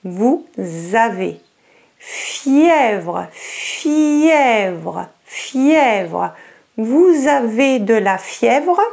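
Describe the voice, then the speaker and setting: Slow, lively high-voiced French woman teacher. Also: vocal fry. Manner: over-articulates words and repeats them slowly for learners. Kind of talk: slow, clear lesson narration in English and French.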